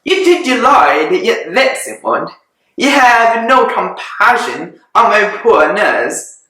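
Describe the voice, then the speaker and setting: A reader raises their voice to play an excitable middle-aged mother, fussy and fretful, pleading over and over in a storybook reading. The tone is bright and the pace slow, and the room rings with heavy echo. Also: medium pitch, exaggerated voice. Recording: very echoey room.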